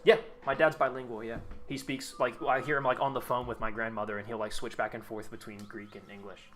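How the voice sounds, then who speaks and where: A man's voice: mid-range voice, level tone, fairly quick, a bit bright, soft background music. Rambling young American man, casual chat. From United States. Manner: rambling, loose, lots of fillers and restarts. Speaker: streamer. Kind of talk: casual chat while gaming.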